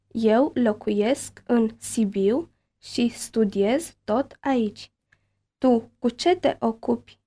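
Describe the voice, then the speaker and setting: Slow, careful woman reading a lesson. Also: on the high side, warm tone. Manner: careful, deliberate enunciation. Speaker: language lesson reader. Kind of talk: slow scripted reading of a bilingual lesson dialogue.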